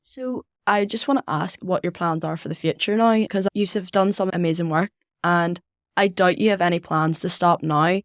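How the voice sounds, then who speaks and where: A woman's voice: on the low side, moderate pace, no echo, studio quality. A young woman, hosting a conversational podcast interview. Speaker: podcast host.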